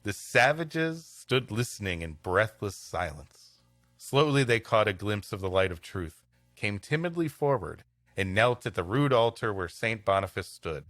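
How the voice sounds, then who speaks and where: A man's voice: fairly low pitch, lively intonation, unhurried, a bit bright, no room sound, clean studio sound, faint music behind. A man co-hosting a comedy show, bantering and narrating stories. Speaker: podcast co-host and story reader. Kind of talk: comic banter and storybook narration.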